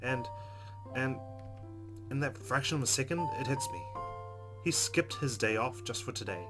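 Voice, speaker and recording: A man's voice: mid-range voice, lively intonation, moderate pace. A man hosting a let's play, reading game narration expressively with casual, playful asides. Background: game music.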